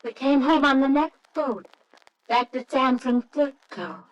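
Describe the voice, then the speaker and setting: An actress playing an earnest, anxious young American wife in an old radio drama, hesitant and tender. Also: female voice, high-pitched, a little slow, highs cut off. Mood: wistful, reflective. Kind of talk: old-time radio drama acting with narration. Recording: faintly noisy old radio recording.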